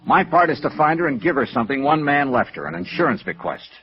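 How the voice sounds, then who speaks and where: An American actor playing a world-weary insurance investigator in 1950s radio noir, clipped, matter-of-fact and pressing. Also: male voice, mid-range voice, big pitch swings, quick pace, breathy edge. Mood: persuasive. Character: world-weary, persistent male insurance investigator narrating his case. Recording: dull vintage radio recording.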